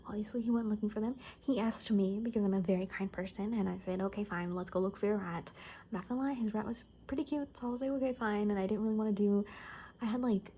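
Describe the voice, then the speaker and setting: Actress as gossipy survivor hairdresser, female, ASMR roleplay. Very soft, warm tone, halting casual delivery, half-whispered, slight noise behind. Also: mid-range voice, natural voice. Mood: gossipy, confiding. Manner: hushed, often slipping into whisper, casual and halting. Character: gossipy young woman, zombie apocalypse survivor cutting hair. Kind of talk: soft-spoken ASMR roleplay, improvised chatty storytelling.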